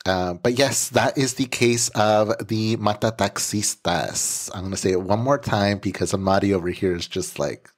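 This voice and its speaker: Very low-voiced man chatting casually. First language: English. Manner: relaxed, many fillers, quick laughs. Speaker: podcast host. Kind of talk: casual chat. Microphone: podcast microphone.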